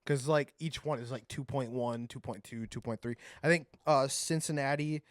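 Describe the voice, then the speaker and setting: A man's voice: medium pitch, normal speed, no echo. Young man, from Ohio, podcast co-host, rambling casual talk, swears a lot, dry close sound. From Ohio, USA. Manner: loose and rambling, swears often, hedges with "I don't remember". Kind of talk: casual chat.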